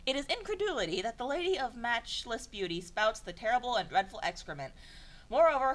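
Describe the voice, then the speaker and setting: A young woman reading broken fan fiction aloud for comedy, in a deadpan voice, stumbling over garbled phrases and breaking off for exasperated sarcastic asides. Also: a little high, low-quality recording. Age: young adult. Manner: stumbles and self-corrects over garbled sentences.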